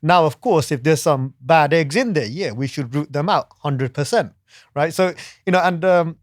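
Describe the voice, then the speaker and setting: A man's voice: a little high, moderate pace, no echo, studio quality. A man talking as a guest in a casual discussion, often restarting and tagging his sentences with "yeah" and "right?". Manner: hesitant restarts, frequent "yeah" and "right?" tags.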